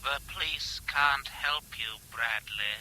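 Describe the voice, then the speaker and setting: Slow, sharp, menacing phone caller. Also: male voice, mid-range voice, poor audio. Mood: menacing, taunting. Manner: slow, deliberate, taunting. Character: menacing anonymous male telephone caller, slow and taunting. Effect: telephone filter. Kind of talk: 1970s British radio drama acting. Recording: thin telephone-filtered voice with faint noise.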